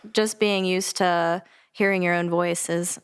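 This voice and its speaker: A woman's voice: lowish voice, fairly even intonation, unhurried, clear, resonant tone. A woman chatting casually as a podcast guest, informal and a little hesitant, with a giggly way about her. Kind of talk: casual chat.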